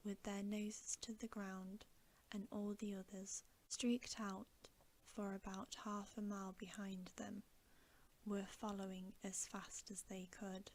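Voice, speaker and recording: A woman reading a story aloud as bedtime ASMR in a soft, breathy whisper, with gentle, hushed and measured narration. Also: mid-range voice.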